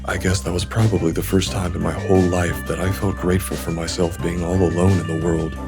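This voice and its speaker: A man plays a guilt-ridden, fearful loner in a visual novel drama. His low voice is measured and earnest, like a confession, with music clearly playing underneath. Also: little pitch movement. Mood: somber confession. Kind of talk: visual novel English fan dub, dramatic dialogue.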